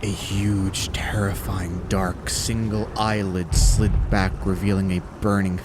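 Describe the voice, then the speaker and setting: A man with a deep voice narrates a horror tale over a clear bed of ambient noise. His delivery is deliberate and suspenseful, with dramatic pauses between phrases. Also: level tone.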